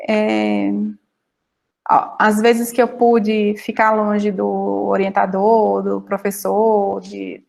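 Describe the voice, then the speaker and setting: A woman's voice: mid-range voice, creaky, darkish tone. A woman with a Northeastern Brazilian accent speaking informally as a guest panelist, often searching for words as she tells her own experience. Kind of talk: casual personal account in online panel.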